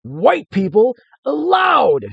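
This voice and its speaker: A man's voice: extremely high pitch, wildly swooping pitch, slow pace, gravelly, slightly rough, no echo, shouting, highs cut off, pitched above the performer's own voice, full character voice. A man voicing a frantic, furious hairy hermit who shrieks and repeats himself in a story reading. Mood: screaming fury.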